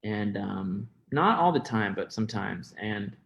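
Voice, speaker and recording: A man's voice: lowish voice, lively intonation, a little slow, creaky, fairly bright tone, highs cut off. A young American man with a stutter talking candidly and informally as an interview guest, hesitating and repeating words. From Florida, United States. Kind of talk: candid personal interview talk.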